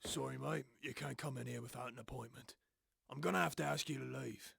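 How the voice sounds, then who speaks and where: An actor playing a tense, defeated boss with a gravelly, husky voice in an audio drama, grim and defensive. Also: male voice, medium pitch, fairly even intonation, fairly quick, background noise. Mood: irritated. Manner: grim, resigned, sternly defensive. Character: tense, defeated male boss guarding a terrible secret.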